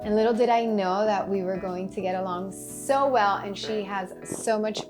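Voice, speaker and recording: A woman hosting a podcast, chatting warmly with a guest and repeating words for emphasis. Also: mid-range voice, normal speed, music in the background, poor audio. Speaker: podcast host and Pilates instructor. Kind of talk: casual interview chat.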